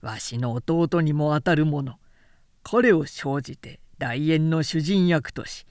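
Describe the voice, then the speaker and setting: A woman voicing an ailing, weary male warlord in an audiobook, with a gruff, lordly manner. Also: sits low, takes it slowly, a little mellow, no room sound, clean studio sound, voice pushed down. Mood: deliberating. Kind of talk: literary audiobook reading.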